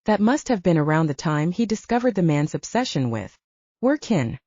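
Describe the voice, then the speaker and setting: A woman's voice: on the low side, sing-song, moderate pace, darkish tone. A woman voicing a man who reports and warns as a matter-of-fact investigator ally in an audiobook. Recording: roomy, dull lo-fi audio.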